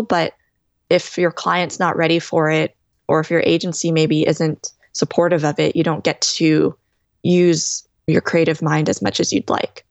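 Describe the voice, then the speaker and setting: A woman in her thirties answers interview questions in a lowish, steady voice. She explains things in a thoughtful, measured way. The recording is dry and close, with no room sound. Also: dry recording. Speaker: DJ and guest.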